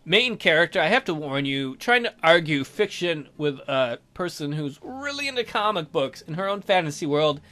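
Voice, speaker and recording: A man's voice: a little high, big pitch swings, normal speed, few pauses, pushed and bright. A man reading a visual novel aloud as a let's player, adding sardonic asides.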